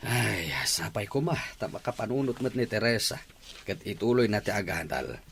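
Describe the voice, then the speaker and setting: A man's voice: on the low side, animated, normal speed, creaky, a bit bright, a little hiss or hum. A man playing an older uncle and worried father in an Ilocano radio drama.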